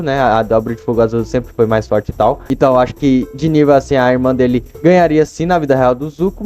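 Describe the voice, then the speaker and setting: A man's voice: medium pitch, mellow. A young man with a Brazilian accent giving casual, slangy, chatty commentary as a video host.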